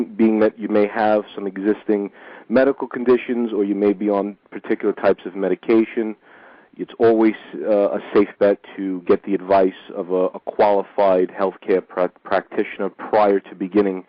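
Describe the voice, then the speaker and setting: A man hosting a call-in radio show, speaking in a measured way and often repeating a word as he starts a phrase. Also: lowish voice, little pitch movement, no echo. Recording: dull dry lo-fi radio recording.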